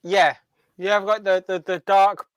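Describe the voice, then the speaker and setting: Higher-voiced man, dry close sound, chatting. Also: little pitch movement, measured pace, fairly bright tone, clean tone, no echo. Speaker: podcast co-host. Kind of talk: casual chat.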